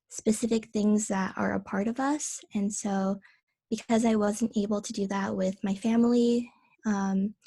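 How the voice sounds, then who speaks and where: A woman writer talking on a video call in a soft, thoughtful, measured way about her own piece. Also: medium pitch. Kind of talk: reflective personal talk.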